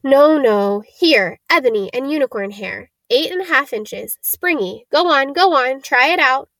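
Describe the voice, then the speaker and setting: A woman voicing an eerie, keenly knowing old male wandmaker from a storybook, soft-voiced and deliberate, with excitable exclamations. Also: sits high, no echo, pitched above the performer's own voice, full character voice. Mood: eager, excited. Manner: deliberate, clipped listing of details, exclamatory greetings. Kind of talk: storybook character reading.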